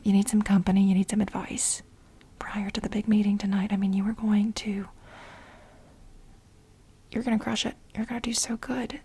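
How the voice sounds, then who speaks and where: A woman in a soft-spoken ASMR role play as a fawning female lab assistant, mostly whispering in a breathy, halting, coaxing way. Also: mid-range voice. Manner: halting, coaxing delivery with repeated phrases.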